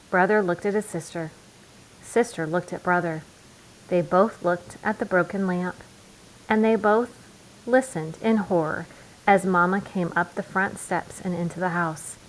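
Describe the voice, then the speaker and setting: A woman narrating a children's storybook aloud in a deliberate way, with emphatic pauses. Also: lowish voice, rough recording. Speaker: children's book reader.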